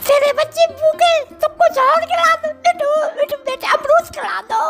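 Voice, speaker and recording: A woman's voice: very high voice, normal speed, a bit bright, soft background music. A woman voicing a cheeky male parrot in a children's cartoon, who drops parrot catchphrases between sentences. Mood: rude, mocking. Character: cheeky male pet-style parrot, squawking his own name.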